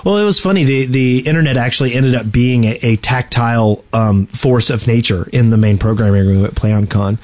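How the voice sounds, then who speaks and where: A man's voice: mid-range voice, fairly quick, few pauses, darkish tone. A man co-hosting a gaming podcast, explaining things to listeners in a casual, chatty way. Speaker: podcast co-host.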